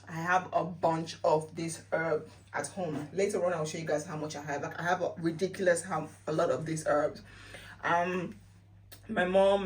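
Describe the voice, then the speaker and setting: A woman's voice: on the low side, distant mic, poor audio. A woman with a Sierra Leonean accent chatting casually as a vlogger, repeating phrases for emphasis. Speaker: lifestyle vlogger. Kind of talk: casual chatty home-remedy vlog.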